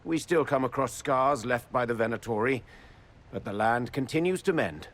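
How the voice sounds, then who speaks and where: A man's voice: medium pitch, animated, moderate pace, fairly bright tone. Stern, formal nobleman envoy. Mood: courteous. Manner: formal, grave, diplomatic. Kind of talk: dramatic video game dialogue.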